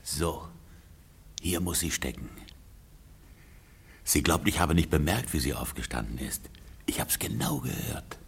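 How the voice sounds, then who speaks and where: Deep, gravelly, grimly jealous husband. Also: male voice, sing-song, unhurried, pauses often, exaggerated voice. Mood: menacing. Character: jealous, threatening husband hunting his wife. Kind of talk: radio play acting.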